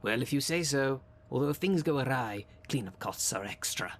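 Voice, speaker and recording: A man's voice: medium pitch, animated, normal speed, slight background noise, lightly acted. A man playing a theatrical demon caretaker in an audio roleplay, formal and grandiose, with a dry sardonic salesman's manner. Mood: dry, businesslike. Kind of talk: scripted audio roleplay, addressing the listener.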